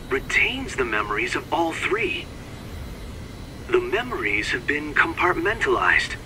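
Earnest young scientist in a game, bright voice, very lively pitch, precise n formal. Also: male voice, slight background noise, full character voice, rough recording. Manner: measured, precise, formal reading. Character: earnest, scholarly young male researcher calling by video phone. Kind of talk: video game cutscene acting.

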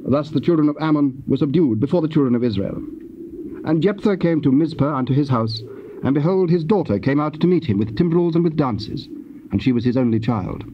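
A man narrating scripture from a script in a solemn, measured and formal way. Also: a little high, animated. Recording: muffled old cassette, faint hiss.

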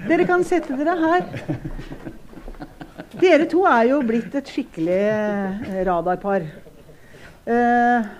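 A woman's voice: a little high, long pauses. A middle-aged woman with a Norwegian accent hosting an informal conversation on a theatre stage. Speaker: host. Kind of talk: informal onstage interview.

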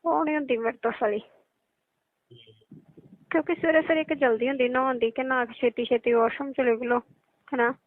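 A woman's voice: high voice, steady tone, brisk, long pauses, no room sound. A woman with a Punjabi accent chatting casually and intimately on a phone call. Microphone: telephone. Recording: narrowband tinny phone-call audio.

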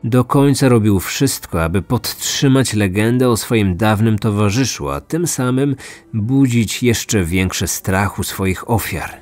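A male true crime narrator with a fairly low voice. He reads a scripted story seriously and with measure, over faint music. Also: lively intonation, unhurried. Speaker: narrator, host.

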